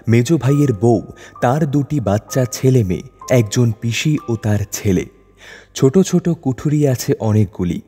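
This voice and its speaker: Casting: male literary narrator, mid-pitched, soft dark tone, heavy reverb with music beneath. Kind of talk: scripted literary story narration. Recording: long reverb over music bed.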